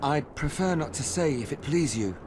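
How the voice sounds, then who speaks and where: An actor playing a guarded, earnest young recruit in a fantasy video game. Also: male voice, on the high side, expressive, moderate pace, noisy background, natural voice. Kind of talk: video game cutscene acting.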